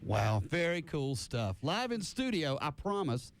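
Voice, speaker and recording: A man's voice: a little high, very animated, measured pace. A man hosting a casual live radio interview, rambling on enthusiastically in a studio. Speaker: radio host.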